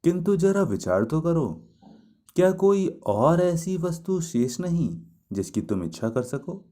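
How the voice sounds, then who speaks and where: Man voicing a wistful, slow-spoken young woman of myth. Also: on the high side, sing-song, warm tone, voice pushed up. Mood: probing. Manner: poetic, pleading, emphatic.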